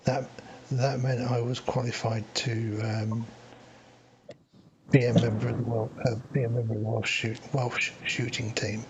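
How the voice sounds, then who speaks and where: A man talks in a hesitant, self-deprecating way, repeating words as he finds his footing. His voice is mid-pitched, and faint hiss sits behind it. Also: flat delivery, unhurried, lo-fi sound. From England. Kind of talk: casual discussion.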